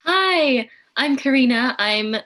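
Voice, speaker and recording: A woman's voice: high-pitched, lively intonation, slow, bright, forceful tone. A young woman from London, a student coordinator, introducing herself in an upbeat way. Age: young adult.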